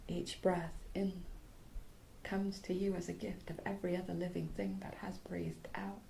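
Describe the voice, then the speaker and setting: A woman leads a guided meditation in a low, soft voice with very little rise and fall. She speaks slowly in short, spaced phrases, soothing and hushed. There is a faint layer of background noise. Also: level tone, vocal fry, poor audio. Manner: short phrases with long pauses. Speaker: yoga nidra guide.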